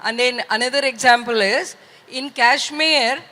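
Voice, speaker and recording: A woman with an Indian accent giving her opinion as an audience member, in halting phrases with repeats. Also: fairly high pitch, sharp tone. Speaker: audience member asking a question. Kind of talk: live audience question, opinion. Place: large festival hall. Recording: reverberant hall sound system.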